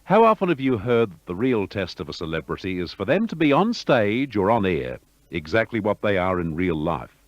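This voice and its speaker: A low-pitched man narrates a radio history in a smooth, measured way. His voice rises and falls with plenty of movement. The sound is dry and dull, like lo-fi broadcast audio. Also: very animated, no room sound. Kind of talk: scripted documentary narration.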